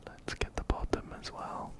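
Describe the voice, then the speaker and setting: A man whispering ASMR game commentary very close to a binaural mic, gently repeating his phrases. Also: moderate pace. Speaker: ASMR host playing a video game. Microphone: close binaural microphone, ear to ear.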